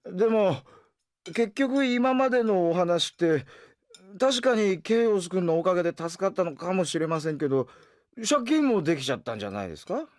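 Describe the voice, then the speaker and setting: High-voiced, polite young grumbler. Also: male voice, big pitch swings, moderate pace, no echo, studio quality. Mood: sobered, doubtful. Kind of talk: Japanese audio drama acting.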